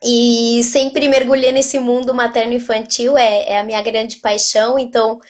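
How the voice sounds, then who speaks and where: A woman's voice: on the high side, level tone, moderate pace. A woman from Argentina with an Argentine Spanish accent, a native Spanish speaker and nutritionist, talking on a video call with frequent restarts. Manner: warm, many hesitations and restarts. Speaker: nutritionist guest. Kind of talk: live online interview.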